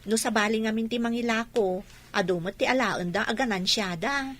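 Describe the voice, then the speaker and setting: A woman's voice: fairly high pitch, moderate pace, a bit bright. A woman playing a dutiful, respectful young village daughter in an Ilocano radio drama, with an Ilocano accent.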